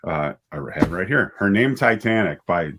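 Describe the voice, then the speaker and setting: A man in his fifties telling stories as an interview guest, conversational and halting, often repeating words and correcting himself. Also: low-pitched, expressive, noisy background. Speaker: writer and director, interview guest.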